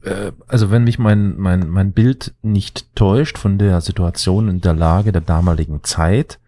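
A man's voice: sits low, a little slow, warm tone, no room sound. A man co-hosting a casual history podcast, asking hesitant questions full of fillers. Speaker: podcast co-host.

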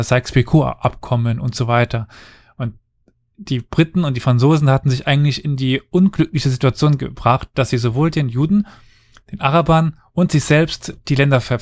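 A man's voice: mid-range voice, a little mellow, no room sound. Male podcast host, storytelling history, dry close-mic tone. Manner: storytelling, explains at length. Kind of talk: casual history podcast chat.

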